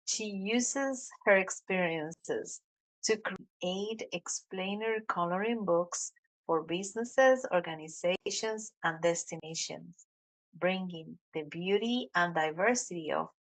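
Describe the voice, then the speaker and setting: A woman's voice: fairly low pitch, rough recording. A woman interview host with a Colombian Spanish accent, speaking English with frequent hesitations. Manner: warm, halting with many "um"s. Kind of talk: interview hosting.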